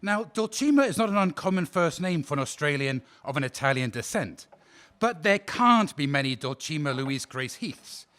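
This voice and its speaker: A man's voice: fairly high pitch, sing-song, normal speed, fairly bright tone. A man playing a defense counsel in a courtroom radio drama, questioning a witness politely but insistently. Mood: probing. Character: male defense counsel, formal and probing. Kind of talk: audio drama acting.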